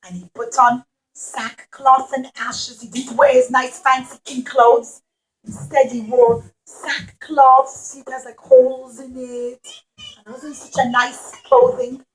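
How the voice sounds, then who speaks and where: High-voiced woman telling children a story. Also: flat delivery, slow pace, rough recording. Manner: simple, repetitive, explanatory, dramatic emphasis. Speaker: puppet show storyteller.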